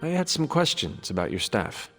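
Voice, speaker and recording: A man's voice: medium pitch, sing-song, moderate pace, a bit bright. A man playing an earnest, reserved hero in a video game, asking questions plainly and politely. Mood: curious, calm. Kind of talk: video game character dialogue, measured questions. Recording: music underneath.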